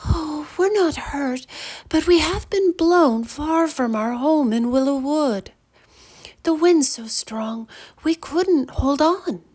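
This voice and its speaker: A woman voicing a tiny, kind storybook fairy with a soft, musical, earnest way of speaking. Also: high-pitched, animated, slow, pitched above the performer's own voice. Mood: reassuring. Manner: gentle, earnest, storybook phrasing.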